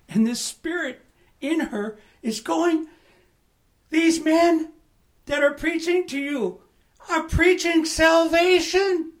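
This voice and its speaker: A pastor teaching a Bible study in a conversational way, often asking the room "right?" and "amen?", with bursts of emphasis. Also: mid-range voice.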